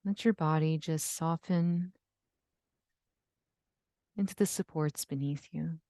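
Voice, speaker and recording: A woman leading a guided yoga nidra relaxation, giving gentle, soothing instructions with long pauses. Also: deep voice, dry recording, studio quality. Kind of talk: guided meditation.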